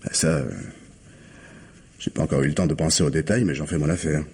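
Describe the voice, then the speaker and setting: An actor playing a scheming, persuasive husband in a French radio drama, with an accent from France and an insistent, coaxing way of reasoning. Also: male voice, extremely low pitch, a little fast, a little mellow, breathy edge. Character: scheming, persuasive husband desperate to save his home.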